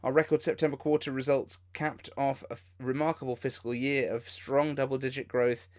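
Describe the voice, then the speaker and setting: Man reacting casually on a livestream. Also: mid-range voice. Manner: informal, incredulous exclamations. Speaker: livestream host. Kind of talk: casual live reaction commentary.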